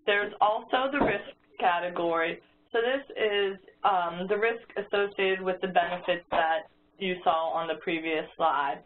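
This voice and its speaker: A woman's voice: fairly low pitch, measured pace. Woman presenting over a tinny phone line. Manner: explains step by step, with frequent restarts and fillers. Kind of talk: walkthrough presentation on a conference call. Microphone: phone or conference line. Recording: tinny conference-call phone line with faint noise.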